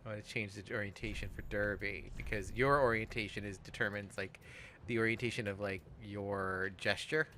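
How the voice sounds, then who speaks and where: A man's voice: fairly low pitch, steady tone, normal speed, pushed and bright, a little hiss or hum. A man giving casual, rambling let's play commentary as he plays a video game, swearing freely. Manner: rambling, profane. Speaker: host playing a video game.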